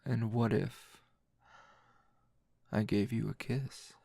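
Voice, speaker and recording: A man playing a weary, teasing male teacher hero in an intimate ASMR roleplay, with a low gravelly voice and lingering pauses. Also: steady tone, mellow, no echo, clean studio sound, heavily acted. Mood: teasing, seductive. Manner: slow, breathy murmuring with sighs and long pauses.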